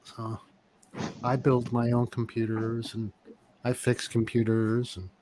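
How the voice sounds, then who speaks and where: A man with an average-pitched voice takes part in a casual live chat. He speaks in a halting way and agrees readily. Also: completely flat delivery, mellow, slight background noise.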